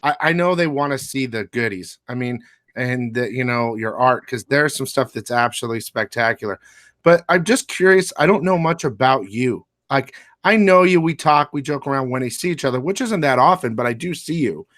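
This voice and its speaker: A man hosts a loose, casual interview, asking questions in a relaxed and joking way. His voice is middle-pitched and comes across dry and close, with no room sound. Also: normal speed, no echo. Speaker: interview host.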